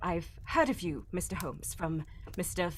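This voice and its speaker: A woman's voice: fairly low pitch, expressive, unhurried, faint music behind. Woman playing a frightened, melodramatic young lady. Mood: desperate. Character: frightened, nervous young lady client, desperate and formal. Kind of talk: cold-read live old-time radio drama acting.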